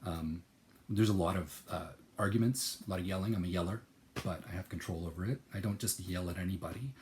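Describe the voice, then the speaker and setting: Earnest man thinking aloud, low voice. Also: fairly quick, slight background noise. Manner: earnest, searching, repeats and restarts words while thinking aloud; swings between soft lowered phrases and sudden loud emphatic bursts. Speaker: channel host. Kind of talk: personal reflective monologue.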